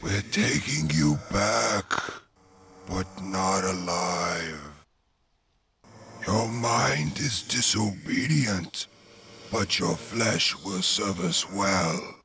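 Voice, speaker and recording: A man's voice: low voice, bright, forceful tone, a little hiss or hum, heavily acted. A man playing a cold, pitiless slave-driver villain in a video game, making ominous pronouncements. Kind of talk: dramatic video game acting.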